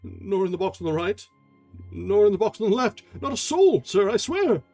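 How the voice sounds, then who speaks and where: A man's voice: high-pitched, very animated, normal speed, dark tone, faint music behind, voice pushed up, exaggerated voice. A man voicing a nervous male theatre inspector who stammers and pleads while explaining himself. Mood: flustered.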